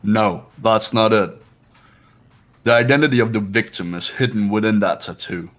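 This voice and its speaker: A man playing a proud, analytical high school student in a fan-dubbed video game, speaking in a haughty, deliberate way. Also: a bit bright, natural voice. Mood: cool, analytical. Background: game music.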